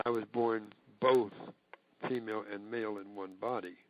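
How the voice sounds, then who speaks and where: A man speaking on a recorded conference call, talking haltingly with many fillers and restarts. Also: on the low side, steady tone, poor audio. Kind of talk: casual talk on a conference call.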